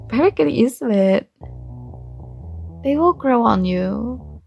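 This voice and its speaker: A young woman playing a shy, smitten student in an intimate ASMR roleplay, speaking softly, hesitantly and tenderly, with some breathiness. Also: fairly high pitch, animated, slow, gravelly, husky, soft background music, full character voice. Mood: tender, playful.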